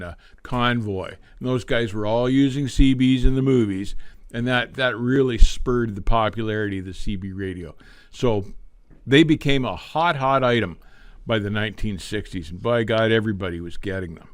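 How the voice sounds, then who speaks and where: Older male, livestream host with trucker background. Medium pitch, folksy reminiscing delivery, unrushed, very little room sound. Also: no echo. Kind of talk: casual reminiscing talk on a live stream.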